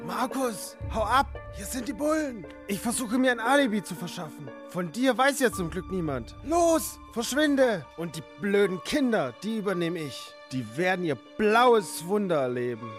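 A man playing a gruff, agitated crook on the run in a children's radio play, cursing and muttering to himself. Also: high-pitched, sing-song, normal speed, few pauses, soft background music. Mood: urgent, threatening.

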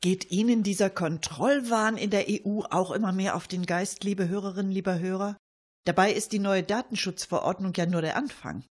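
A woman co-hosting a satirical cabaret radio show, with a husky voice and dry irony. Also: mid-range voice, steady tone, brisk.

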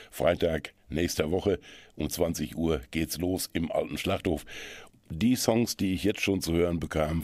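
A man's voice: a bass voice, a little mellow, no room sound. A man hosting a radio show, presenting in a formal, promotional, scripted style. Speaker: radio host.

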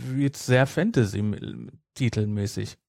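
Mellow, gravelly man chatting casually. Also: lowish voice, takes it slowly, dry recording, studio quality. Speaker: podcast co-host. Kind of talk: casual chat.

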